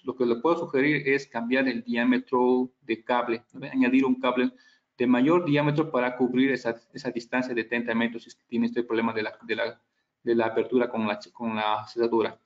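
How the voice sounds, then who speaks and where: A man giving a technical slide presentation as a product trainer, halting and explanatory, checking for agreement with tag questions. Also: mid-range voice, dull audio.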